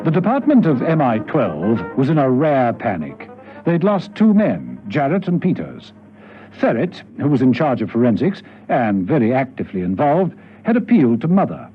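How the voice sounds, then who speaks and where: Male storyteller for radio drama, medium pitch, very varied intonation, lo-fi sound. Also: huge pitch swings, moderate pace, slightly rough. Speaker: narrator. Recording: dull lo-fi radio, music behind.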